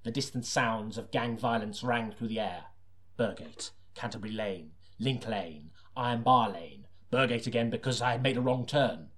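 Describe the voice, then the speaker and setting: A man playing a brooding hard-boiled detective, narrating in a grave, deadpan, portentous noir style. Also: fairly low pitch, normal speed, close to an everyday voice. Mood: grim, deadpan.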